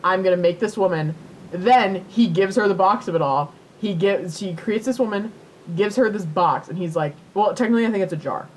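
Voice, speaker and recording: A woman's voice: medium pitch, a little fast, darkish tone, slight background noise, poor audio. A woman co-hosting a podcast, casually retelling a myth in informal language with swearing and plenty of "like". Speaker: podcast co-host.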